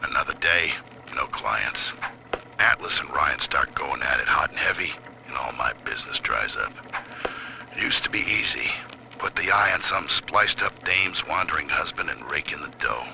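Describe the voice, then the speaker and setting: Hardboiled, weary gumshoe for game audio diary; rock-bottom pitch, lively swings, gravelly. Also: male voice, moderate pace, full character voice. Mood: weary, wry. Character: world-weary, down-on-his-luck hardboiled private eye. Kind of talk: noir game audio-log monologue. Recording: noisy old audio-log recording.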